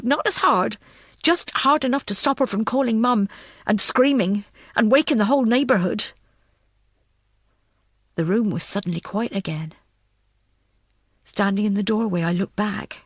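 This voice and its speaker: A woman's voice: a little high, a bit bright, dry recording, studio quality. A woman reading a scripted thriller audiobook as narrator.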